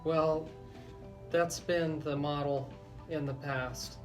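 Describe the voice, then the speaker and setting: A man with a fairly high voice talks as a legal expert on a radio show. He explains in a deliberate way, pausing within his sentences. Music plays clearly underneath his speech. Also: fairly even intonation.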